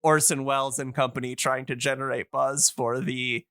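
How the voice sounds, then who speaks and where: Bright, crisp-voiced man chatting casually. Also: medium pitch, level tone, normal speed, no echo, clean studio sound. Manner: enthusiastic, rambling explainer who searches for words. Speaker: podcast host. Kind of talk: casual podcast chat.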